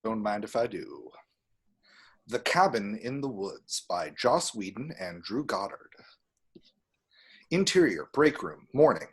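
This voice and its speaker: A man's voice: mid-range voice, breathy edge. A man reading scene descriptions as the narrator of an amateur screenplay table read. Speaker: stage direction reader.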